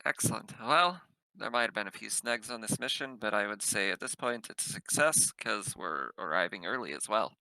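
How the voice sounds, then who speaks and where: Male tabletop gamer, intensely bright tone, relaxed chatty roleplay, dry close recording. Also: lowish voice, normal speed, dry recording, rough recording. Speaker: player in a tabletop roleplaying game. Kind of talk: casual roleplay narration and chat.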